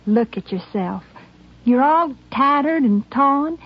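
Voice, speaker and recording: A high-voiced, prim frontier sweetheart. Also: female voice, lively intonation, slow, exaggerated voice. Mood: reproachful. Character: prim, reproachful frontier sweetheart, concerned with respectability. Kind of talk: 1950s radio drama acting. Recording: dull 1950s radio broadcast.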